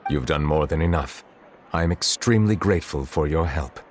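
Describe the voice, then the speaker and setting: An actor playing a grave, formal chief justice in a video game, measured and solemn. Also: male voice, extremely low pitch, slight background noise.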